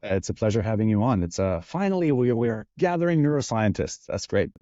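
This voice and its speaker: A man's voice: on the low side, very animated, a little fast, dark tone, no room sound. A man hosting an interview show, friendly and enthusiastic as he asks his guest questions. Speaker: podcast host. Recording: dull lo-fi audio.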